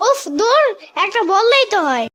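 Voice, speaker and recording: A performer voicing an excitable little boy in a children's cartoon, timid yet cheeky. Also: very high voice, normal speed, noisy background. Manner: childlike, blunt, exclamatory.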